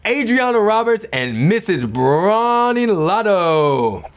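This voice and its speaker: A man who co-hosts a children's storybook show, reading and bantering comically with theatrical swings between soft delivery and sudden shouts. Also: high voice, sing-song, slow pace. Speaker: comedian co-host of a children's read-aloud show. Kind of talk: comedic storybook read-aloud with banter.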